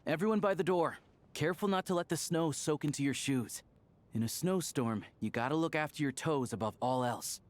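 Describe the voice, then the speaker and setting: An actor playing an easygoing, dependable young theater worker in a video game, speaking in a plain, informal, steady way. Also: male voice, on the high side, a little fast, close to an everyday voice. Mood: calm, resolute.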